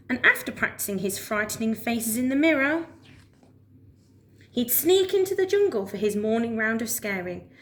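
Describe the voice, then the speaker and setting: A woman reading a children's story aloud as a storyteller, with expressive narration. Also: a little high, a little hiss or hum.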